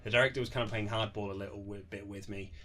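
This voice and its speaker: Fast-talking man, chatty interview guest. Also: fairly low pitch, steady tone, fairly bright tone, soft background music. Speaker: author being interviewed. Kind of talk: casual conversational interview, anecdotal. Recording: lo-fi amateur interview setup.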